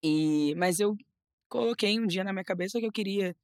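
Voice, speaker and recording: A young woman from Rio with a Carioca accent, chatting as a podcast guest, animated, often restarting and repeating words. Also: mid-range voice, normal speed, no echo, studio quality. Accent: Carioca Portuguese. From Rio de Janeiro, Brazil. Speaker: model and influencer, podcast guest. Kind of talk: casual interview chat.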